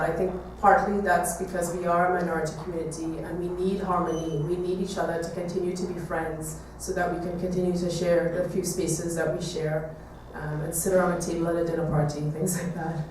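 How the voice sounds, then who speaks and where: A woman from Trinidad giving an artist talk to an audience, speaking reflectively with hesitant pauses. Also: fairly low pitch, level tone, darkish tone. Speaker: visual artist giving a presentation. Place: echoey room, talk to an audience. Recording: echoey room, distant mic, faint noise.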